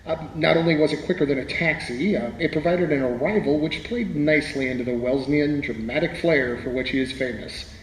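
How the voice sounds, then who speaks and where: Man acting as wry playwright, highish voice, literary storyteller, hesitant, radio interview drama, echoing room. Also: fairly quick, hardly any pauses, close to an everyday voice. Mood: wry, modest. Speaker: interview guest. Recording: echoey hall, faint background noise.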